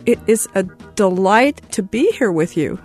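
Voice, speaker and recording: A woman's voice: mid-range voice, lively intonation, a little slow, music in the background. A woman, a baking expert on a radio show, explaining her method step by step. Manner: warm and explanatory, walks through steps. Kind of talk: instructional interview.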